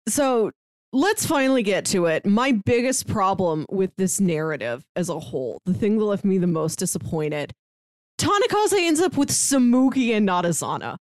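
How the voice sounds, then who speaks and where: A woman's voice: medium pitch, very animated, normal speed, no echo, clean studio sound. A woman co-hosting a podcast, chatting casually and expressively as she discusses stories. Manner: acts out characters' lines while retelling. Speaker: podcast co-host reviewing comics.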